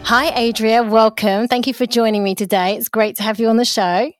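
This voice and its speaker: A woman's voice: on the high side, fairly even intonation, fairly quick, dry recording, soft background music. A woman hosting a podcast, welcoming her guest and asking prepared questions in an encouraging way. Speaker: podcast host.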